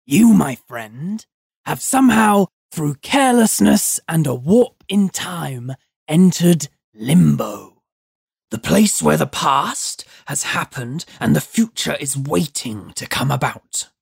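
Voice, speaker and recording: A narrator voicing a squat, contemptuous toad-like demon of the past, with a harsh rasp and a slow, sneering delivery. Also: medium pitch, sing-song, darkish tone, no echo, clean studio sound, heavily acted. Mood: loathing, grandiose.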